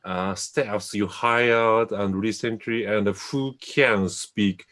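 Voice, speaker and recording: A Japanese man with a lowish voice hosts a relaxed chat in English. He hesitates often, hunting for the right word before going on. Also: slow pace. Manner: hesitant, searching for English words. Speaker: host. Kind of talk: casual chat in a second language.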